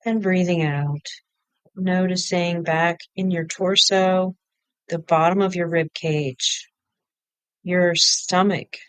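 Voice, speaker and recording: Female meditation guide: very smooth, clean voice, unhurried delivery in short instructional phrases, close dry sound. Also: fairly low pitch, no echo. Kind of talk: guided body-scan meditation.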